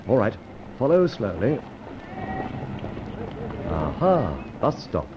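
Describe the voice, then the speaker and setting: A brisk, middle-aged gentleman sleuth in an old radio serial. His delivery swings freely in pitch as he muses and exclaims, on a dull, muffled recording. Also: wildly swooping pitch, a little hiss or hum, exaggerated voice. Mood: urgent, planning.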